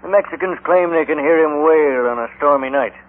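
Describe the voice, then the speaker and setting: An actor playing a matter-of-fact small-town frontier doctor in an old radio Western. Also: male voice, on the high side, a little fast, lightly acted. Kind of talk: 1950s radio Western acting. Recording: tinny old radio recording.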